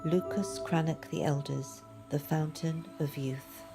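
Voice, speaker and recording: A middle-aged woman with a slightly low voice talks in a conversational interview style. She explains her work as a course leader, with faint music behind her. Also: steady tone, unhurried, vocal fry.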